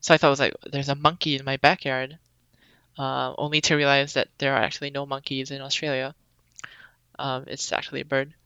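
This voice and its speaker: A young woman from Singapore chats as a podcast guest, relaxed and rambling with plenty of ums. Her voice is bright and crisp with a gravelly edge. The recording is dry and a little dull, like a lo-fi remote call. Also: rumbling low, a little fast, dry recording. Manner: relaxed, chatty, many ums. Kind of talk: casual interview chat.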